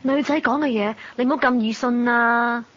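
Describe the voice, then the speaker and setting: An actress playing a curious, cheeky young student in a radio drama, with a Hong Kong Cantonese accent. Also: female voice, a little high, fairly even intonation, normal speed, a bit bright, close to an everyday voice. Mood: playful, curious. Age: young adult. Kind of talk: radio drama narration and dialogue. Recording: dull lo-fi audio.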